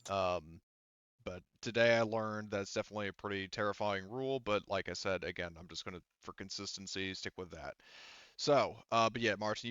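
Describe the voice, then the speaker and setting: Man, low pitch, clean smooth tone, casual game master, thinking aloud, dry slightly muffled recording. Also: a bit bright, no room sound. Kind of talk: casual rules explanation at a tabletop game.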